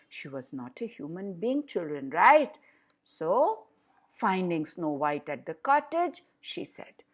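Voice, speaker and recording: A woman narrating a fairy tale for children with deliberate, dramatic emphasis. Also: mid-range voice. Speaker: storyteller for children.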